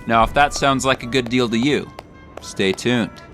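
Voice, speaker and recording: A man's voice: medium pitch, measured pace, fairly bright tone. Mock-serious man narrating over faint music. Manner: mock-serious, exaggerated, theatrical emphasis. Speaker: video creator and narrator. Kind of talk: scripted satirical video commentary.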